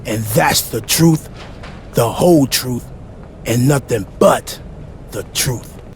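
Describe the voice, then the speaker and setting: A man playing a boastful, menacing rival wrestler in a video game, drawing out threats like a preacher in a gravelly voice. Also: medium pitch, slow, a little hiss or hum, natural voice. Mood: threatening.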